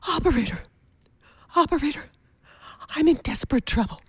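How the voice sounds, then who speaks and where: An actress playing a terrified, pleading wife in a radio thriller, stammering and frantic, going from whispers to shouts. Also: female voice, high voice, expressive, normal speed, no room sound. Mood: fearful, hushed. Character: terrified, pleading invalid wife alone at night, hysterical.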